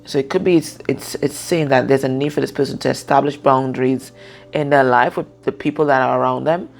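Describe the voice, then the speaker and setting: Husky, low-voiced woman with creaky tone. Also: fairly even intonation, fairly quick, soft background music. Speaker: tarot and oracle card reader. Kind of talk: casual spoken card reading.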